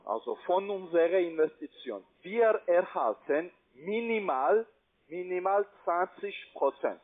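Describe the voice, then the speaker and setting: Male telephone salesman, high voice, foreign accent, non-native speaker, pushy and repetitive, tinny phone line. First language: not German. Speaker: call centre investment salesman.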